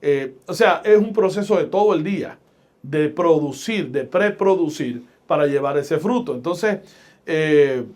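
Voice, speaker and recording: A middle-aged man from Puerto Rico with a Puerto Rican accent, a pastor and radio host telling stories in a podcast interview with a deliberate, emphatic preaching delivery. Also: on the high side, expressive, darkish tone. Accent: Puerto Rican Spanish.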